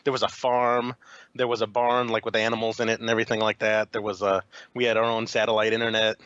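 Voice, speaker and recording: A man's voice: lowish voice, little pitch movement, fast talker, a bit bright, clean tone, no room sound, dull audio. A young man from Chicago, a podcast guest chatting casually with lots of fillers and restarts. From Chicago, USA. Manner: rambling, frequent fillers and restarts. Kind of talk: casual interview chat.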